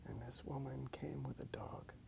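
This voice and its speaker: A man whispering softly in a breathy, gravelly voice, rambling casually and a little hesitantly in an ASMR style. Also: medium pitch, fairly even intonation, moderate pace, background noise. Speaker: ASMR video creator. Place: outdoors in a park.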